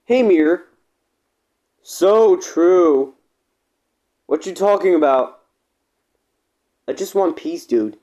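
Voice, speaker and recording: An amateur voicing a snarky, excitable cartoon character with a sarcastic, dramatic delivery, recorded in low quality on a tablet. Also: slow, long pauses.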